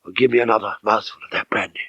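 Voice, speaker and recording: A man voicing a proud male baronet weak from fright, faint and halting, in an audiobook story. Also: lowish voice, steady tone, a bit bright, slightly rough, dry recording, muffled recording, voice pushed down. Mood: shaken, weak.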